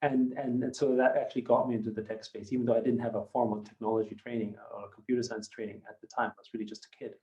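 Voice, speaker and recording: A German native speaker in his fifties, from Austria, talks in a measured, reflective way and hedges often. His voice is mellow and warm, and its pitch sits in the middle range for a man. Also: flat delivery, muffled recording. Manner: measured and reflective, many hedging fillers. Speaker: company founder and guest. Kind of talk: podcast interview.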